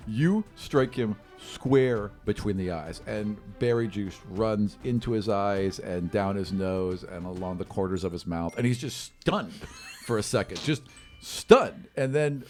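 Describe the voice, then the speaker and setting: Male game master, low voice, conversational and encouraging, improvised fantasy narration over music. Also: unhurried, darkish tone.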